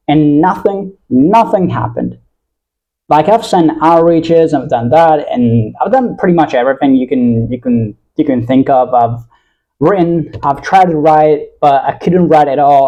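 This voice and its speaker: A young man speaks with an American accent in a relaxed, soft-edged voice pitched a little high. He talks off the cuff to his viewers, earnest and rambling, often correcting himself as he goes. The recording sounds slightly dull and muffled. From Italy. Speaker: YouTube vlogger. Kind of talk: casual unscripted monologue to viewers.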